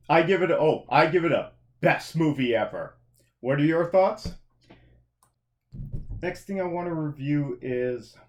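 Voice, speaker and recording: A man's voice: mid-range voice, darkish tone. A man from Canada talks through his movie and TV picks in a loose, chatty podcast review. He rambles and corrects himself mid-sentence, circling back to finish his thoughts. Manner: rambling, self-correcting, chatty with frequent restarts. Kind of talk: casual review chat.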